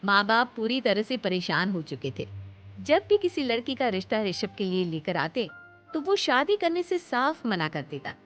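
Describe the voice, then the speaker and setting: A woman's voice: a little high, very animated, moderate pace, a bit bright, faint music behind. A woman narrating a scripted moral story as a storyteller. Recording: dull lo-fi audio, slight noise.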